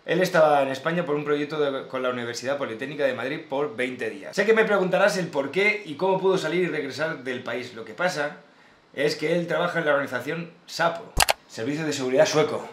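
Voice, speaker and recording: A man's voice: on the high side, a little fast, a bit bright. Echoey-sounding Spanish man, casual host. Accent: Spain. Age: 30s. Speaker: prank show host. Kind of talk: casual banter. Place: small home office, indoors. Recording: echoey room, faint background noise.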